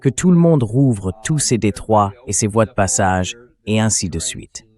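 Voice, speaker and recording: A man's voice: fairly low pitch, animated, measured pace, a little mellow. A man's synthetic AI voice dubbing an interview host in a translated political interview.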